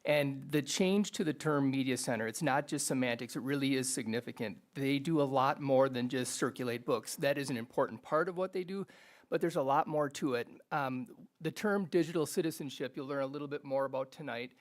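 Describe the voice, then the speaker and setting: A man's voice: mid-range voice, a little fast. A man working as a district administrator, introducing a report in a friendly, chatty way at a school board meeting.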